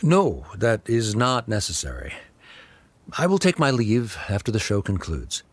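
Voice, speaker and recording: A man's voice: fairly low pitch, huge pitch swings, a little slow, a little hiss or hum. A man playing a stern, middle-aged scholar father in a game, speaking formally and with restraint. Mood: reserved.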